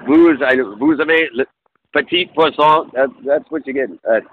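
A man's voice: a little high, steady tone, normal speed, phone-quality sound, a little hiss or hum. A man co-hosting a podcast, talking in a rambling casual way with frequent ums and restarts. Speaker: podcast co-host. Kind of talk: casual chat.

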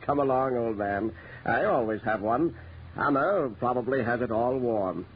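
A man's voice: mid-range voice, measured pace, close to an everyday voice. An actor playing a genial, hospitable country squire in his sixties, with hesitant, sighing delivery, in a 1930s radio drama. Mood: hospitable, jovial. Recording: muffled, noisy 1930s radio recording.

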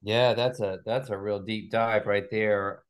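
A man speaking as a podcast guest on a video call, explaining ideas in a deliberate, measured way. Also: lowish voice, steady tone. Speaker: podcast guest, author and researcher. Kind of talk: explanatory interview talk. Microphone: remote video call.

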